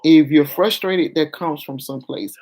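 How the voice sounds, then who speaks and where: A woman vlogger with a fairly deep, projecting voice, talking frankly and confessionally to her viewers in a casual way. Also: moderate pace, dark tone. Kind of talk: candid casual talk to viewers.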